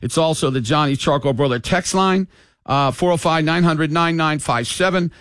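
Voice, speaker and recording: A man's voice: fairly high pitch, normal speed, fairly bright tone, clean tone, no echo, clean studio sound. An older man hosting sports talk radio, rambling and opinionated in a casual way.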